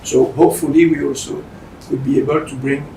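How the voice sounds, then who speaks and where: A Belgian man with a French accent speaks haltingly in a semi-formal address. His slightly high voice has a gravelly creak, and it is picked up in a roomy space. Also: little pitch movement. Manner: halting, hesitant non-fluent English. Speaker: company managing director. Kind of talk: semi-formal presentation speech. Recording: roomy, distant mic with faint rumbling noise.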